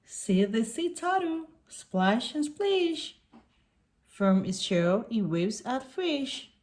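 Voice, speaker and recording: A woman reading a picture book aloud to young children in an expressive way, putting on animal sounds. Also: fairly high pitch, slow pace, a little hiss or hum.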